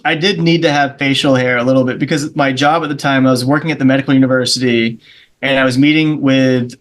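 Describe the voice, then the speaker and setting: A man from Charleston chatting as a podcast guest, rambling through anecdotes and acting out the other people in them. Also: mid-range voice. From Charleston, South Carolina. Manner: rambling anecdotes, acts out the voices of people in his stories. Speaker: guest comedian. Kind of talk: casual podcast chat.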